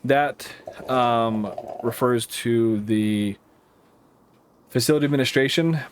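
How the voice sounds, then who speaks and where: A man with an American accent giving casual, explanatory commentary over a simulator game, thinking aloud in a halting, rambling way. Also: mid-range voice, slight background noise. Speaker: air traffic controller streaming a simulator game.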